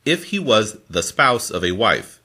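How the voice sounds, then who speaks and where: A man reading scripture from a formal translation in a deliberate, phrase-by-phrase manner. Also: lowish voice, sing-song, bright, forceful tone. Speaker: scripture reader.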